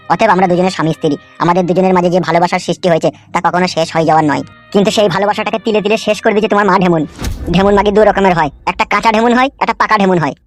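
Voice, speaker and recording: A man voicing a lovesick, foul-mouthed young husband in a comedy cartoon, high-pitched and melodramatic, pleading and grumbling. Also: lightly acted. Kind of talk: amateur comedy cartoon dubbing. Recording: music under the voice.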